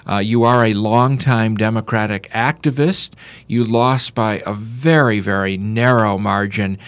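Low-voiced man hosting a radio interview. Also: a little slow, dry recording. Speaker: radio talk show host and interviewer. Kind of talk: conversational radio interview, partly scripted introduction.

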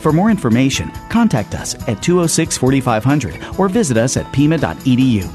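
Brief: male announcer, animated pitch, scripted ad, soft guitar under. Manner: smooth, measured promotional delivery. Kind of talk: scripted college ad read.

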